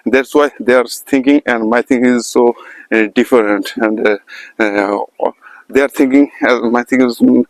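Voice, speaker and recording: A man from India with an Indian English accent, speaking English as a second language in a halting, repetitive motivational monologue to camera, filmed at a calm, quiet spot. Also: mid-range voice, no room sound, low-quality recording. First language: not English. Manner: halting, repetitive non-native phrasing. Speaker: English learner vlogger.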